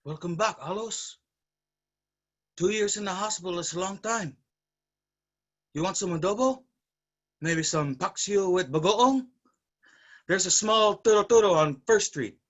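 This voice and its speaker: A man's voice: high-pitched, a little slow, long pauses, fairly bright tone. An actor playing a caring, responsible brother, an earnest man in a staged drama. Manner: earnest, protective, scolding when angry. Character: Macario, caring, responsible, bookish Filipino brother. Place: online virtual staged reading.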